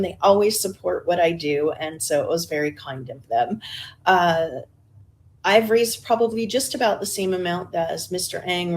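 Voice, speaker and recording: A woman running for school board, answering forum questions in a relaxed way with many fillers. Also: on the low side. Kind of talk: forum answers, casual speech. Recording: faint background noise.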